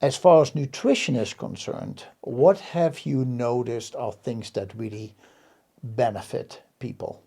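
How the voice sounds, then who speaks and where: A man's voice: mid-range voice, a little slow, gravelly, dark tone. A man hosting an interview, asking questions and affirming his guest with frequent agreement. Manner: affirming, lots of backchannel agreement. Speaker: host. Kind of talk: casual interview talk.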